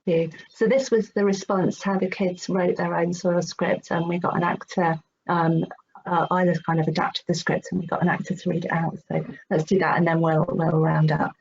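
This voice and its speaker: A woman's voice: lowish voice, level tone, normal speed, vocal fry, darkish tone, breathy edge. An enthusiastic, effusive woman giving an informal presentation in an online meeting. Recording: dull, lo-fi online call with noise.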